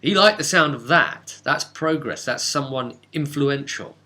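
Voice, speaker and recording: Bright-voiced man recapping a game session. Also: mid-range voice, expressive, measured pace. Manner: chatty storyteller, slipping into quoted dialogue. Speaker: game master and vidcast host. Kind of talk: casual, rambling recap of a tabletop role-playing session.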